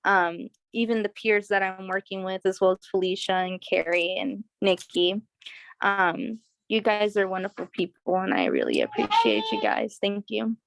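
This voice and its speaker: A woman's voice: medium pitch, expressive, moderate pace, gravelly, slight background noise. A woman participant thanking her mentors and peers in a grateful manner. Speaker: program participant.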